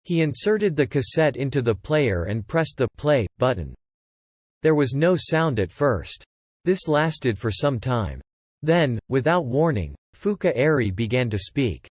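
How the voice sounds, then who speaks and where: A lively, varied mid-pitched male voice, recorded close and dry. He reads scripted fiction as an audiobook narrator. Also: a little slow, dry recording.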